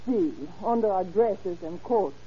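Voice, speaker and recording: Brazen smuggler woman, dark-toned. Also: unhurried, husky. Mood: smug. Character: brazen, self-assured female smuggler. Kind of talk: 1930s radio crime drama acting. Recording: muffled, low-fidelity old radio recording.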